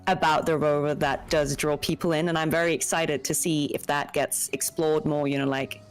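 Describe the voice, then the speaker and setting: A woman's voice: low voice, little pitch movement, normal speed, soft background music. A woman guest chatting casually, friendly and upbeat, with lots of agreeing fillers. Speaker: voice actor guest. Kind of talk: casual chat.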